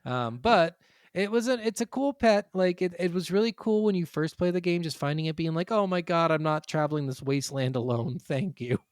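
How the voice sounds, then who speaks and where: A man's voice: fairly high pitch, fairly quick, clear, resonant tone, dry recording, clean studio sound. A man co-hosting a podcast, talking about video games in an informal, conversational way with some swearing. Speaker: podcast co-host. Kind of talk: casual gaming chat.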